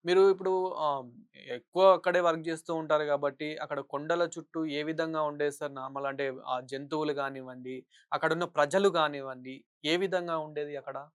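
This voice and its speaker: A man's voice: a little high, fairly even intonation, fairly quick, smooth, dry recording, clean studio sound. A man working as a news interviewer, putting long, wordy questions in a phone interview.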